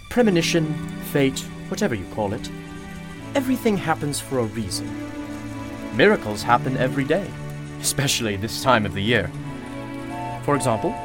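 A genial, mysterious shopkeeper played by a male actor in a radio play, his voice swinging widely in pitch. He is courtly and theatrical, with a knowing, cryptic air, and music plays faintly behind him.

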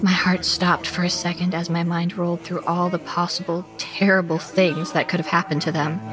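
Woman acting as a worried suburban narrator, lowish voice, measured dramatic horror storytelling w music behind. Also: flat delivery, lightly acted. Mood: tense, reflective. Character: anxious suburban woman recounting a frightening Christmas night. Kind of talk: scripted first-person horror narration. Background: background music.

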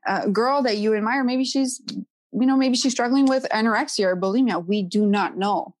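A woman's voice: a little high, moderate pace, dry recording. A woman in her thirties from Quebec with a Quebec French accent, talking as a podcast guest in a casual chat, often adding "you know" and "right?".

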